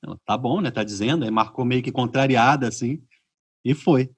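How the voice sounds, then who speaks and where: This is a Brazilian man in casual conversation over a video call. He tells stories with lively acted-out reactions. His voice sits at a middle pitch and sounds close and dry, with no room around it. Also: moderate pace, slightly rough, dry recording. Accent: Brazilian Portuguese. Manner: animated storyteller, acts out reactions. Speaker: podcast host.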